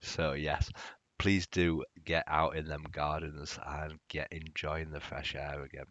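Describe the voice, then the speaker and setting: Low-voiced man, chatty podcast host. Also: level tone, measured pace, a bit bright, no echo, highs cut off. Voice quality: soothing. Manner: chatty, rambling, with fillers. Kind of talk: casual podcast chat.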